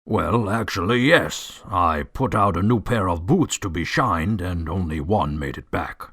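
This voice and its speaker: A determined gentleman heir, acted by a man for an audio drama. His voice is fairly low with a very mobile pitch, recorded close and dry. He speaks courteously, with a firm streak. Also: animated, measured pace, no room sound, full character voice. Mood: polite, puzzled.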